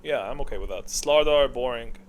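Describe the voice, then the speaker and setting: A man's voice: mid-range voice, a little fast, a little hiss or hum. A man giving casual, opinionated tier-list commentary as a gaming video creator, musing aloud and questioning himself as he goes.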